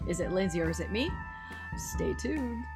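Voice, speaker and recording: A woman's voice: on the low side, expressive, normal speed, music in the background. An American woman hosting a casual comedy talk show, playful and self-deprecating in her banter.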